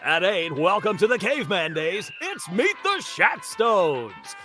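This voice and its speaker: A man's voice: sits high, very animated, unhurried, pushed and bright, soft background music, heavily acted. A man voicing an over-hyped retro TV promo announcer, punchy and exaggerated, in a cartoon promo parody. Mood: hyped, cheesy. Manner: punchy, exaggerated, pushed-out "puking" DJ delivery.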